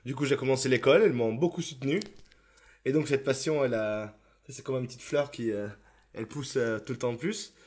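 A man's voice: mid-range voice, brisk, darkish tone, husky. A young man from Italy, a chef, answering interview questions in a rambling, self-deprecating way with many restarts. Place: home, remote call.

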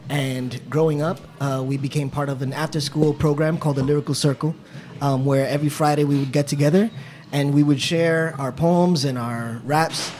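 Animated New York man in his thirties. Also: a little high, moderate pace, hardly any pauses. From New York, United States. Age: 30s. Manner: animated storytelling, fillers, laughs. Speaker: poet, interview guest. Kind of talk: conversational interview.

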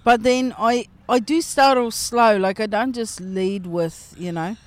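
A woman's voice: fairly high pitch, steady tone, a little slow, dry recording. Blunt, dry-voiced woman. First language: Afrikaans. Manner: blunt, profane and self-mocking, with frequent laughs. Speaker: stand-up comedian guest. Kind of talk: casual chat.

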